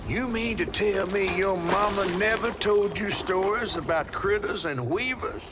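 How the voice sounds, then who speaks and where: An actor playing a folksy, cheeky giant catfish storyteller in a video game, with a drawling American Southern accent. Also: male voice, sits high, measured pace, background noise. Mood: wary, urging. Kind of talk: video game character acting and storybook narration.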